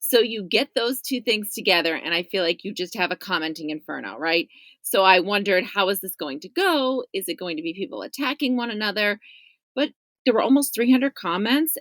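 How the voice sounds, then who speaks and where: Female journalist guest, medium-pitched voice, dry recording, casual conversational answers. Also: expressive, fairly quick, a bit bright, no room sound. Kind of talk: casual interview chat.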